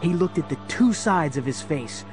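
A man's voice: a little high, lively intonation, normal speed, music in the background. A man narrating a scripted story in a dramatic storytelling style.

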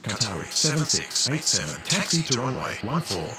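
A businesslike male pilot or controller, voiced by a man for a video game. His mid-pitched voice has lively intonation on procedural calls, with very loud droning noise behind it. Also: normal speed, lightly acted. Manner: formulaic, procedural. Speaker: air traffic and pilot radio voice in a game. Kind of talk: scripted aviation radio phraseology.

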